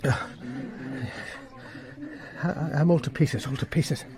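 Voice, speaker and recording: A man's voice: on the high side, fairly even intonation, takes it slowly, crowd noise. An actor playing a jittery, hard-drinking upper-class English military man in a radio drama, with a blustering, stammering manner. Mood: agitated, bitter. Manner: blustering, stammering, slurred old-fashioned slang.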